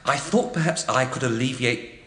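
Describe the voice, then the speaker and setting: An actor playing a timid, apologetic parish priest in a radio play, hesitant and rambling, easily flustered. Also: male voice, mid-range voice, moderate pace, bright, forceful tone, close to an everyday voice. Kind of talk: radio drama acting.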